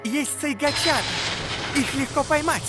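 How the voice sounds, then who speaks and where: A woman's voice: high-pitched, measured pace, over loud music. A woman voicing an eager, nervous young jackal informant in a cartoon, stammering eagerly. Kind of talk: cartoon voice acting.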